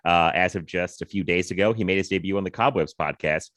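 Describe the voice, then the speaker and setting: A man's voice: sits low, fast, fairly bright tone, no room sound, clean studio sound. An American man hosting a podcast, chatting casually in a jokey, rambling way. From Virginia, US. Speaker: podcast host. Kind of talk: casual chat.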